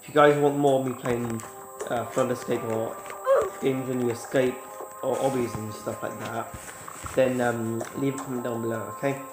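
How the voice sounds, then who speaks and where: A man with a cold, sniffling, chatting casually to his viewers while he plays, and shouting when things go wrong. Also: medium pitch, little pitch movement, moderate pace. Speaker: gaming YouTuber. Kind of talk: casual gameplay commentary. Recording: roomy with loud game music.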